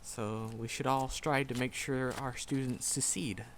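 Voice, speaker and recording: A man acts out a bashful male magic professor in an intimate roleplay drama. His medium-pitched voice moves slowly and haltingly, full of nervous stammers and self-conscious rambling. A slight background noise sits under the recording. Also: steady tone, lightly acted. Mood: shy, friendly. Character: shy, flustered male magic professor with a secret crush. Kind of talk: roleplay audio drama, intimate one-sided dialogue.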